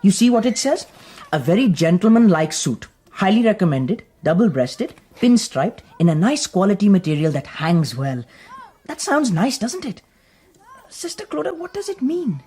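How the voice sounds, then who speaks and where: A woman's voice: medium pitch, big pitch swings, moderate pace, dark tone, breathy edge. A performer playing an eager, naive, courteous young nobleman in a radio drama, asking things politely and earnestly. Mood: eager curiosity.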